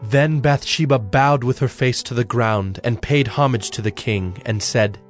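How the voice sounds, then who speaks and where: Male narrator, mid-pitched, measured storytelling for scripture drama, prominent score underneath. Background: prominent music.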